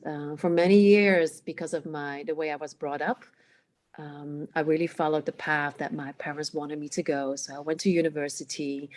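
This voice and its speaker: A woman coach from the Netherlands whose first language is Cantonese answers interview questions about her upbringing. Her voice sits low. She speaks reflectively, with frequent hesitant "um" pauses. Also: darkish tone. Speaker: guest, emotional awareness coach.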